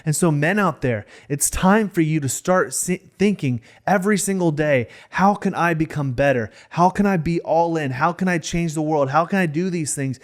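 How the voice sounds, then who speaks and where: A man's voice: on the high side, normal speed, hardly any pauses. A man in his thirties co-hosting a podcast, speaking in a passionate, motivational way. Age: 30s. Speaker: podcast co-host. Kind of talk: casual podcast discussion.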